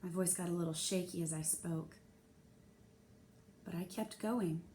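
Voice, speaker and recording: A woman's voice: fairly low pitch, steady tone, measured pace, dark tone, slight background noise. A woman reading a children's novel aloud expressively, narrating in the first person. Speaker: storybook reader.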